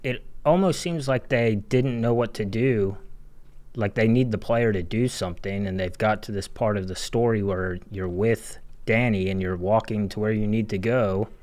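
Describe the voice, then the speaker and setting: A man's voice: on the low side, normal speed, darkish tone. A man giving informal, exasperated and sarcastic commentary as a video game critic, swearing freely. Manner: sarcastic, exasperated, foul-mouthed. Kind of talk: informal analysis commentary over gameplay.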